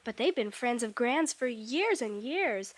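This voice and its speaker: A woman's voice: a little high, animated, a little slow. An actress playing an earnest, plucky young woman in a 1950s radio drama, speaking insistently. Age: young adult.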